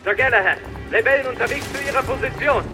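An actress playing a dutiful military dispatcher with a formal, clipped reporting manner in an audio drama. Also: female voice, sits high, quick pace, natural voice. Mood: alert, formal. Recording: loud music and gunfire behind.

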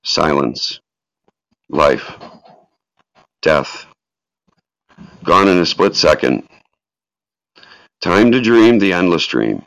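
A man's voice: low voice, big pitch swings, very slow, vocal fry, long pauses, a bit bright. A man hosting a live podcast, speaking in a solemn reading style or in casual talk. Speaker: host of a live podcast reading a tribute aloud. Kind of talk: solemn dramatic reading and casual call-in chat. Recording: echoey room, dull lo-fi sound.

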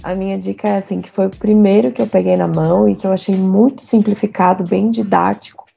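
A hoarse woman architect chatting casually on a podcast, halting and full of fillers. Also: mid-range voice, steady tone, dark tone. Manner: halting, many pauses and fillers. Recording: dull lo-fi sound with faint music.

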